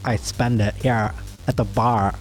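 A woman's voice: extremely low pitch, steady tone, unhurried, faint music behind, pitched below the performer's own voice. A woman doing improv comedy, playing a blunt, crude, spacey rich girl trying to pick up a guy at a bar. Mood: airheaded.

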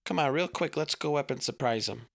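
A man's voice: a little high, brisk, gravelly, a bit bright, dry recording, clean studio sound, pitched above the performer's own voice. A man voicing a folksy, overly sweet small-framed father who talks in homespun phrases. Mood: cheery folksy.

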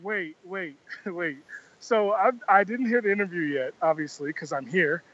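A man's voice: high voice, moderate pace, a bit bright, lo-fi sound. A man co-hosting a podcast, crude and teasing, cutting in on others. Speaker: podcast co-host. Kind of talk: casual podcast banter.

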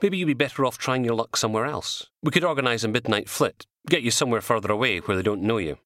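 A man's voice: mid-range voice, quick pace, a bit bright, dry recording, voice pushed up, natural voice. A man voicing a weary, dry detective sergeant in an audiobook crime story, blunt and matter-of-fact in an official way.

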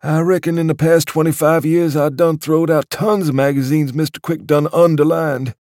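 A man's voice: a little high, level tone, moderate pace, darkish tone, dry recording, clean studio sound, voice pushed up, lightly acted. A man voicing a sweet, doddering old male club waiter, mournful and respectful.

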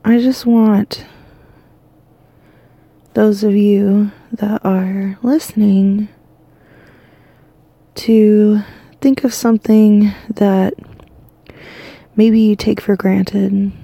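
A woman hosting a bedtime podcast from her bed, exhausted and losing her voice, talking softly and drowsily in a rambling half-whisper. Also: medium pitch, level tone, very slow, gravelly, long pauses, no room sound. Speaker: podcast host. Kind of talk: sleepy bedtime monologue. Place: lying in bed at home.

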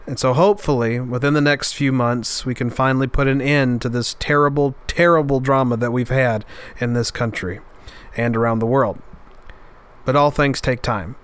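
A man's voice: mid-range voice, fairly even intonation, moderate pace, clear, resonant tone. A male podcast host speaking with a nasal, stuffed-up voice in an earnest, heartfelt, reflective monologue. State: stuffed up after an illness.

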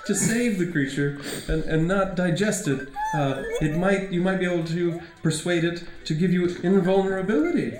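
A man acts out a bumbling, self-deprecating male servant in a comic radio play. His voice is on the high side and he rambles hesitantly, heard in an echoey room with faint music. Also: moderate pace, few pauses, close to an everyday voice. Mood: hesitant explaining. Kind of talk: comedic improvised radio play.

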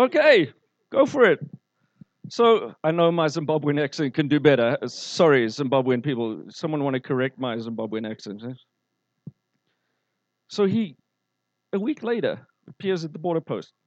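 Male pastor, slightly high voice, storytelling sermon, muffled, dry recording. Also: no room sound. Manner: storytelling preacher, asks the congregation questions.

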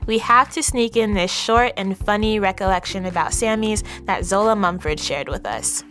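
A woman's voice: mid-range voice, normal speed, fairly bright tone, soft background music. Woman host narrating a podcast. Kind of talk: scripted narration.

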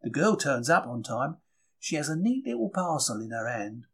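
Husky, plucky bookseller, acted by a man. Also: mid-range voice, sing-song, normal speed. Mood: proud, chatty. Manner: colloquial, wry, emphatic storytelling. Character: young 1930s London working-class bookseller, chatty, plucky, easily wounded. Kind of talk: dramatic first-person diary reading.

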